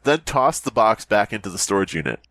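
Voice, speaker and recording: A man's voice: fairly low pitch, a little fast, no echo. A man co-hosting a podcast, chatting casually and reading a story aloud while laughing and swearing a lot. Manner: lively, cracks himself up laughing and swearing.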